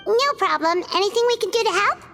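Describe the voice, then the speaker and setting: A woman voicing a chirpy little fairy companion girl who is excitable and blunt, in a video game. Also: very high voice, music in the background. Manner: excitable, blunt, quick to react.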